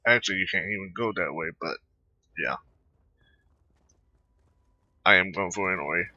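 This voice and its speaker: A man giving casual gameplay commentary in a rambling, hesitant, halting way. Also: deep voice, pushed and bright, dry recording, muffled recording. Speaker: gamer narrating own gameplay.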